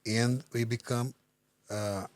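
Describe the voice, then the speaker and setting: Halting Brazilian man, searching for words. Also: medium pitch, fairly even intonation, dry recording. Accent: Brazilian Portuguese. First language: Portuguese. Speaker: guest. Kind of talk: interview answers.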